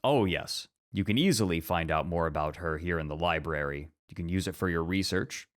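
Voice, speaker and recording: Man acting a deep-voiced, formal scholar. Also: fairly quick, close to an everyday voice. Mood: scholarly, measured. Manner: formal, reasoned, pedantic. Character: measured, scholarly, traditionalist male literature teacher. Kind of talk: scripted ASMR roleplay acting.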